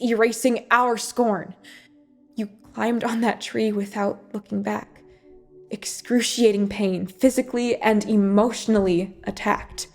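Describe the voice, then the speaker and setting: A woman delivers a scripted, rhymed devotional monologue in a measured, dramatic recitation, with phrases broken by pauses. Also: fairly high pitch. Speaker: church worship speaker. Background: music behind the voice.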